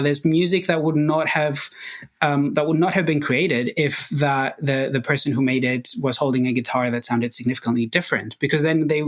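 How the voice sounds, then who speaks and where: A young man, a Greek native speaker, explaining ideas as a podcast guest, thoughtful and full of fillers and restarts. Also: mid-range voice. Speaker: guest researcher and musician. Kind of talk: technical podcast interview.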